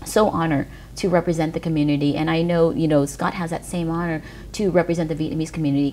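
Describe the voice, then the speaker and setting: A woman's voice: on the low side, a little mellow, slight background noise. An American woman hosting a community TV talk show, chatty and upbeat, using lots of "you know"s. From United States. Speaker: TV show host.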